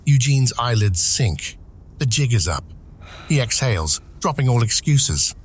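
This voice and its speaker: A man reading dramatic scripted narration for an audio drama, with measured, deliberate phrasing. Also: medium pitch, sing-song, husky. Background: music.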